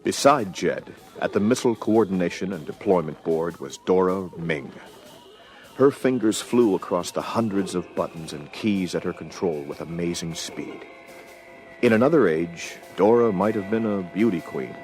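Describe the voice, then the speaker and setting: A man narrating an audio adventure story from a script in a measured, dramatic storytelling manner. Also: sits low, lively intonation, music in the background.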